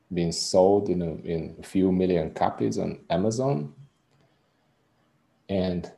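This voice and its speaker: A man's voice: sits low, creaky, dark tone. A man in his thirties from Hungary, speaking English with a Hungarian accent, a musician guest earnestly explaining his work in a casual interview. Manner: earnest, rambling explanations with restarts.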